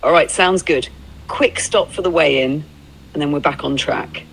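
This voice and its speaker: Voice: mid-range voice, moderate pace, creaky, a bit bright, a little hiss or hum, rough recording. An androgynous synthetic voice in the role of a travel companion, telling stories and replying in a fluent, agreeable, reflective conversational style. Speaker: AI companion playing a road-trip documentarian. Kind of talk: conversational roleplay storytelling.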